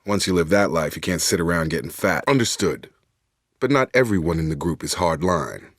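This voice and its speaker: An actor playing a steady, dutiful space operative in a video game, with a clipped, matter-of-fact military delivery. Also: male voice, low-pitched, fairly quick, no room sound, studio quality, full character voice. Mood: steady, serious. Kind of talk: scripted video game dialogue.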